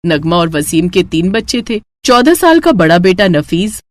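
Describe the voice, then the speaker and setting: A woman's voice: fairly low pitch, very animated, moderate pace. A woman narrator reading a scripted moral story for children.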